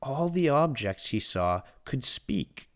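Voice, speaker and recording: Slow, highly animated male storybook narrator. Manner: expressive storytelling. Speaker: read-aloud narrator. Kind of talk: scripted children's storybook narration.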